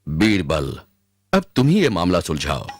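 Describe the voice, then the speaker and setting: A man playing a stern, just emperor of old India in an animated moral story, with a regal, commanding manner. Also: deep voice, unhurried, heavily acted. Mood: measured. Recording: music behind the voice.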